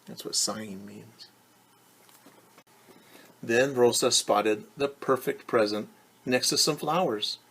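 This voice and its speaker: A man's voice: mid-range voice, sharp tone, breathy edge. A man teaching young children, reading a picture book aloud and patiently explaining it in simple terms with questions.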